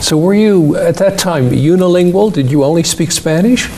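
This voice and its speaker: A man hosting an interview, asking measured questions with frequent pauses and fillers. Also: high-pitched, mellow, a little hiss or hum. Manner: deliberate with many hesitations and fillers. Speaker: interview host.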